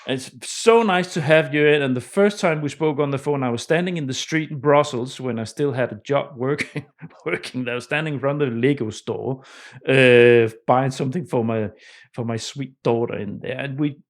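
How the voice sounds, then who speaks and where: A man's voice: medium pitch, moderate pace. Man hosting a conversational podcast. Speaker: podcast host.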